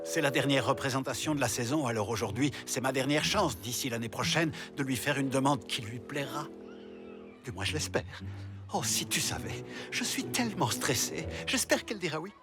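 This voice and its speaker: A man playing an earnest, nervous older gentleman, polite and eager, in a French cartoon dub. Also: medium pitch, animated, normal speed, music in the background, lightly acted. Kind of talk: French animated series dubbing.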